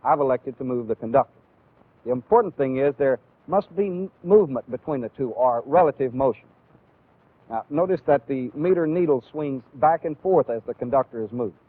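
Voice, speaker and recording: A man's voice: mid-range voice, animated, no room sound. Tinny old recording of an American male instructor. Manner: deliberate, methodical, clearly explanatory. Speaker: instructor in a military training film. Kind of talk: instructional lecture with demonstration. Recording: phone-like, band-limited old training film audio.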